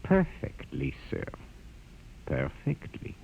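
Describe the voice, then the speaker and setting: An actor playing a suspicious, stiffly obedient military commander in a 1950s radio drama. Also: male voice, slow, soft background music, lightly acted. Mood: distrustful. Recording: noisy, tinny 1950s radio with clicks.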